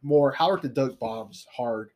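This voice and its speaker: A man's voice: medium pitch, a little slow, a little mellow. A man co-hosting a casual podcast, stuffy from allergies, laid-back and swearing freely. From South Texas. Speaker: podcast co-host. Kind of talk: casual chat.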